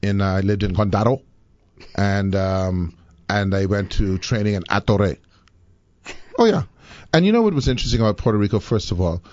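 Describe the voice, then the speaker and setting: A Bahamian man hosting a live talk show, chatting in an animated, rambling way and laughing easily. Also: on the low side, normal speed, pauses often, dull audio.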